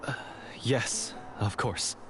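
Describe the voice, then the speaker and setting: A man's voice: slow, natural voice. A man playing an earnest, repentant hunter in a video game, speaking in a solemn, formal and somewhat hesitant manner. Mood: taken aback. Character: earnest, repentant male hunter, humble and honor-bound. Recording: music behind the voice. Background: background music.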